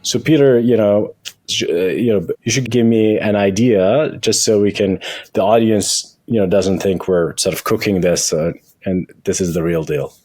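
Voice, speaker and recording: A man with a husky voice speaking as a guest in an interview, explaining things in a halting way with frequent restarts. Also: fairly low pitch, a little mellow, no echo. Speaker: guest, tech company founder and CEO. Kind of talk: interview conversation with software demo.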